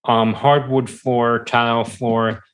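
Slow, hesitant man chatting casually. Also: mid-range voice, flat delivery, clean tone. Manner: hesitant, halting, mild-mannered. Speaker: podcast guest. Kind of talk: casual interview chat.

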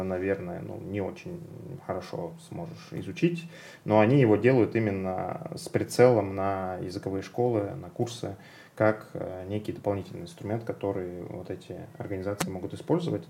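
A low, gravelly male voice from Russia. He talks things through in a relaxed conversational way, stopping often with hesitant fillers. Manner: thoughtful, many fillers and hesitations. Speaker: podcast guest. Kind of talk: casual conversation.

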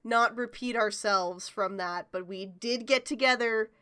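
Bright-voiced young woman with a stuffy nose. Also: on the high side, a little slow, smooth. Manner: chatty, swears casually, self-mocking. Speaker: podcast co-host. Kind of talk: casual podcast chat.

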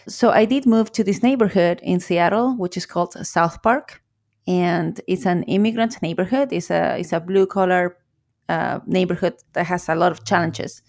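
A woman from Chile with a Chilean Spanish accent, a coffee shop owner answering podcast interview questions reflectively, with restarts and soft laughs. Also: lowish voice, gravelly.

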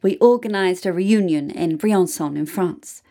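A woman reading a scripted first-person story aloud in a deliberate manner, with dramatic pauses. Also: lowish voice, dark tone. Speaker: reader of a written true story.

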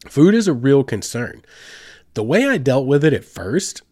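A man's voice: medium pitch, sing-song, measured pace, a little mellow, dry recording, studio quality. A man congested with a head cold gives casual, rambling instructional talk as a host, in a folksy, informal way with slang. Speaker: host giving outdoor trip advice.

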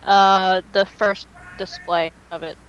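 A woman's voice: medium pitch, flat delivery, takes it slowly. A woman co-hosting a fan podcast in casual, enthusiastic and opinionated chat. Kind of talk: casual chat, reacting to a music video. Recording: dull lo-fi voice chat audio.

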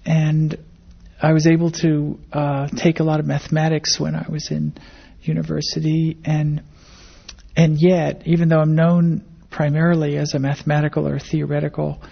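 An older American woman with a husky, creaky voice gives conversational interview answers. The pitch moves little and the phrasing is thoughtful and hesitant. The recording is dull and lo-fi. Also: level tone, normal speed. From grew up in Indonesia and the Philippines, American-born. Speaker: interviewee, academic biologist.

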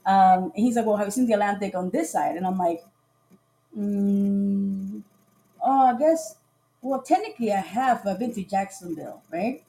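A woman's voice: medium pitch, normal speed, gravelly, mellow, low-quality recording. A woman from Costa Rica, a podcast guest, chatting casually on a livestream and acting out the dialogue in her stories. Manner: chatty storyteller who acts out quoted dialogue.

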